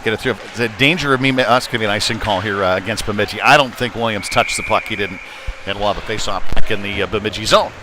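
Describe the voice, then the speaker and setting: A man's voice: fairly low pitch, fast talker. A man doing live play-by-play hockey commentary with folksy, hesitant phrasing from inside an ice arena. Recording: live rink broadcast with loud background noise. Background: loud arena ambience.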